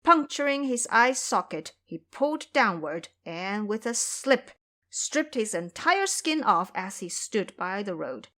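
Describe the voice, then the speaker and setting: A woman's voice: medium pitch, sing-song, unhurried, a bit bright. A woman narrating a scripted fantasy tale as a dramatic storyteller.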